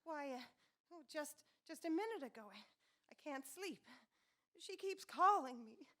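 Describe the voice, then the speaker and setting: A woman's voice: high voice, expressive, measured pace, bright, forceful tone, exaggerated voice. A woman playing a frightened, insistent wife in an old-time radio horror drama, pleading with urgency. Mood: haunted, pleading.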